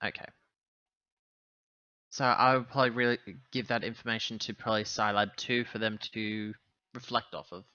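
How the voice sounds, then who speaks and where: A man playing a tabletop game over a voice call, talking casually and haltingly as he thinks out loud. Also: lowish voice, steady tone, no room sound, dull audio. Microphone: online voice call.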